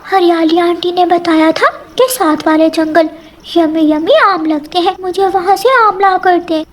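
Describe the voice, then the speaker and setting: Woman voicing an eager, high-pitched little boy bird. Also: steady tone, normal speed. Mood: pleading, insistent. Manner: childlike, whiny pleading. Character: eager, stubborn little boy sparrow chick.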